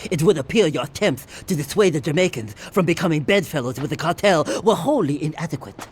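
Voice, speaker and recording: A man's voice: fairly high pitch, lively intonation, a little fast, slight background noise, close to an everyday voice. A man playing a proud, formal mob boss. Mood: furious. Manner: formal, grave, commanding. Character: proud, ruthless crime boss who speaks formally.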